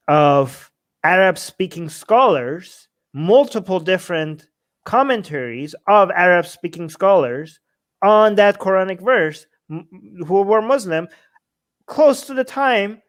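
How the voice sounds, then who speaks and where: A man co-hosting a casual question-and-answer show, answering viewer questions in a blunt, animated way with many restarts. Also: a little high. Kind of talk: casual Q&A chat.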